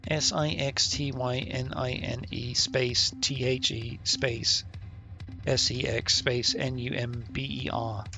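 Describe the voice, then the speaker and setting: A man's voice: mid-range voice, flat delivery, slow, vocal fry, music in the background. A man live-streaming a puzzle game, muttering and swearing in frustration as he guesses passwords. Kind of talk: live-stream commentary while gaming.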